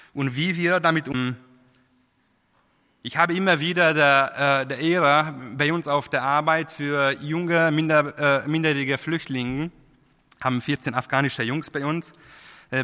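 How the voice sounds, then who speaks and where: A man preaching a sermon in a language that is not his first, halting, with many fillers and restarts. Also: a little high, bright, forceful tone. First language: not German. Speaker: lay preacher. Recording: long hall reverb.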